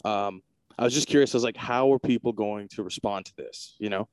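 Low-voiced man interviewer, halting. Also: a little mellow, clean tone, no room sound. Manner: hesitant, full of fillers and restarts. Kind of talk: casual interview chat.

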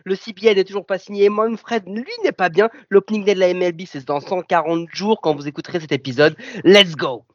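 A man co-hosting a casual podcast chat, joking and teasing his guests, rattling off news items quickly. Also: high voice, fairly even intonation, no room sound, muffled recording. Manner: joking, teasing, rapid-fire news reading. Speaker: podcast co-host.

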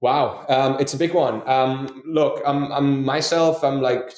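A man from Switzerland answers interview questions at a middling pitch. He rambles and restarts, with many fillers. The recording has a roomy echo. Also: fairly even intonation, slow pace, a little mellow. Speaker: guest, company co-founder. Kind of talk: casual podcast interview answers.

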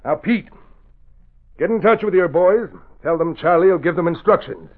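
Gravelly, blustering crime boss. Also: male voice, sits high, animated, normal speed. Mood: commanding, urgent. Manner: blustering, commanding. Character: angry, nervous, scheming crooked politician and crime boss. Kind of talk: 1940s radio drama acting. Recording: muffled old radio recording.

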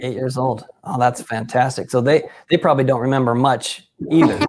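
A man's voice: mid-range voice, steady tone, brisk. A man hosting a casual sports interview, upbeat and effusive in his praise of his guest. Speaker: interview host.

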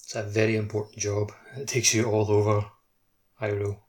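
A man's voice: lowish voice, completely flat delivery, fairly quick. A man co-hosting a casual gaming chat, talking loosely and circling back with lots of filler likes. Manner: hesitant, conversational, peppered with "like". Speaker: podcast host. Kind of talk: casual video game discussion.

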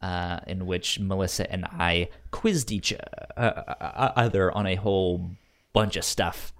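A man's voice: low-pitched, measured pace, a bit bright. A man co-hosting a podcast in casual chat, stammering and often repeating words. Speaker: podcast co-host.

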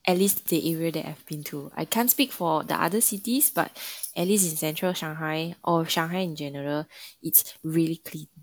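A woman's voice: low-pitched, moderate pace, no room sound. A young woman with a Singaporean English accent, chatting casually as an interview guest and laughing often. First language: not Mandarin. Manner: laughs often, uses lah and lor.

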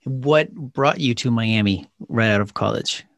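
A man's voice: medium pitch, unhurried, gravelly, fairly bright tone, dry recording. A man hosting a podcast, reading a scripted intro and then interviewing a guest. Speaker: podcast host.

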